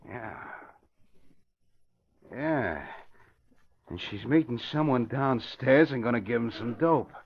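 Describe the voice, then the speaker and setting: An actor playing a vain, menacing gangster big shot with tough, slangy, threatening wiseguy talk in an old radio crime drama. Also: male voice, medium pitch, lively intonation, slow, long pauses, breathy edge, full character voice. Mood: bitter. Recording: dull, muffled old radio recording.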